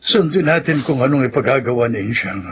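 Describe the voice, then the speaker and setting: A man's voice: mid-range voice, expressive, brisk, a bit bright, a little hiss or hum, close to an everyday voice. An actor playing a worried, commanding old grandfather in a radio drama, urgent and emphatic. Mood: firm, commanding. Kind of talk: Tagalog radio drama acting.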